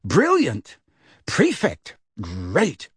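A man's voice: medium pitch, wildly swooping pitch, extremely slow, breathy edge, no echo, clean studio sound, heavily acted. A man voicing an uneasy teenage boy who fakes cheer with a forced, over-hearty delivery. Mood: falsely hearty.

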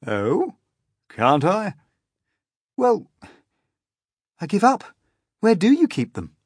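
A curious little boy, as a man puts him on, high and eager. He asks polite, earnest questions in a husky voice, one figure in a storybook. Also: wildly swooping pitch, takes it slowly, no room sound, studio quality, full character voice. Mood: wondering. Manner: wide-eyed, earnest, questioning.

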